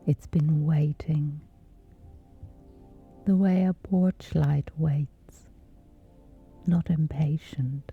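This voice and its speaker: A woman softly guiding a scripted sleep meditation in a breathy, gentle, soothing hush with long pauses. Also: low-pitched, fairly even intonation, phone-quality sound, faint music behind. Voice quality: breathy, whispery soft.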